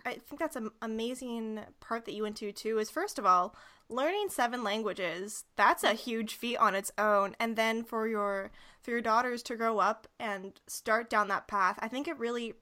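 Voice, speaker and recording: A woman's voice: on the high side, normal speed, bright, forceful tone. A woman hosting a podcast, chatting casually and gushing with praise for her guest. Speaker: podcast host. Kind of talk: casual interview chat.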